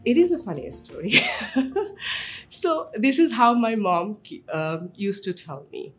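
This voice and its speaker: A woman psychiatrist from Bangladesh with a Bangladeshi accent, telling a personal story in a lively way with non-native phrasing. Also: medium pitch, measured pace, soft background music. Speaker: child and adolescent psychiatrist.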